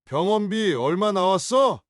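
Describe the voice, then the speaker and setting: An actor playing a drunk, contemptuous adult son in an audio drama, talking in curt, scornful demands. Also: male voice, sits high, lively intonation, measured pace, no room sound, studio quality.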